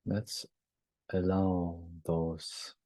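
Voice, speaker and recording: Very deep, slow male meditation guide. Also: flat delivery, no room sound. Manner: gentle, halting phrases with long pauses.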